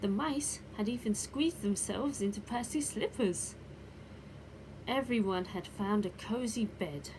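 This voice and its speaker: A woman reading a children's bedtime story expressively, with deliberate dramatic emphasis. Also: mid-range voice. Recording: clear background noise.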